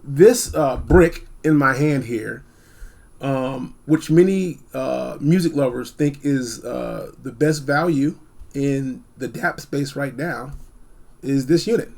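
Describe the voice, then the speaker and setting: A man hosting a casual, unscripted gadget review, talking in an animated, emphatic way and repeating phrases for effect. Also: medium pitch, slow, gravelly. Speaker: product reviewer hosting a video.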